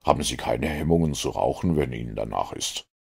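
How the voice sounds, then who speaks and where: A man voicing a cool, shrewd gentleman detective in an audiobook, with a measured, dry, authoritative manner. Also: a bass voice, animated, gravelly, breathy edge, pitched below the performer's own voice, heavily acted. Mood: observant, composed.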